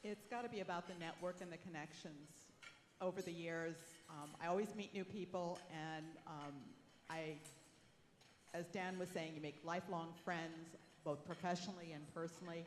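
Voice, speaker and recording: Earnest low-voiced woman, echoey hall. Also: fairly even intonation, moderate pace. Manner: earnest, with small fillers. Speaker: association member. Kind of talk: answering a question on stage. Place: conference hall. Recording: echoey hall, faint noise.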